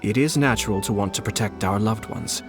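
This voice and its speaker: A composed noble commissioner, played by a man in a game cutscene, low and unhurried. He weighs every word with courteous calculation. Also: music in the background, lightly acted. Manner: measured, polite and calculating. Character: calm, scheming young male noble commissioner. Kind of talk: video game cutscene dialogue.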